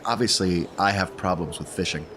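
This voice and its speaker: A man's voice: low voice, fairly quick, a bit bright. A man chatting casually as a player in a tabletop role-playing game, narrating what his character does. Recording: noticeable background noise.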